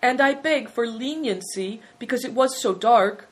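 A woman's voice: on the high side, lively intonation, slow, pitched above the performer's own voice. A woman voicing an impulsive, nervous man in a story, blurting things out and making apologetic excuses. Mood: dejected pleading. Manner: hasty exclamations, dejected excuses.

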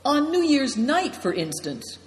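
A woman's voice: high voice, very animated, unhurried, voice pushed up. A woman reading as a humble, rueful nomad host. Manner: humble, gently melancholy. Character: modest, courteous middle-aged nomad man host. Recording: echoey room with long reverb.

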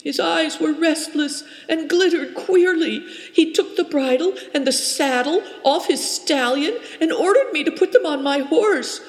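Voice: sits high, measured pace, hardly any pauses, voice pushed up. A reader doing a frightened, tearful young herdswoman. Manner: stammering, sobbing. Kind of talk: audiobook character reading. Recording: roomy with long reverb.

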